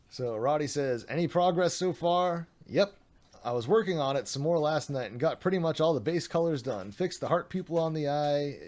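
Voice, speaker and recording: A man's voice: on the high side, a little mellow. Sarcastic man reading aloud on stream. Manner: sarcastic, mocking asides. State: voice getting a little worn. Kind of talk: reading a document aloud with commentary.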